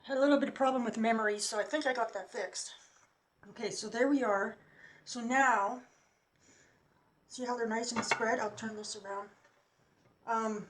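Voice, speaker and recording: A quiet, soft-spoken woman talking casually as she walks viewers through a craft at home. She speaks at a middling pitch, recorded straight into her computer without a separate mic. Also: steady tone, moderate pace, long pauses, slight background noise, rough recording. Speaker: craft vlogger. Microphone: computer's built-in mic, no external mic for voice-over.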